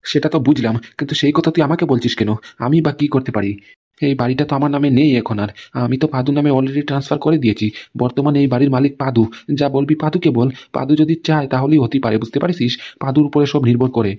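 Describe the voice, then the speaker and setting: A man's voice: mid-range voice, quick pace, warm tone, lightly acted. A man playing a stubborn, grumbling family man with a blunt, repetitive way of talking in a comedy cartoon. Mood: stubborn, defiant. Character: stubborn, grumbling male head of a squabbling family. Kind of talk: Bengali comedy cartoon dialogue.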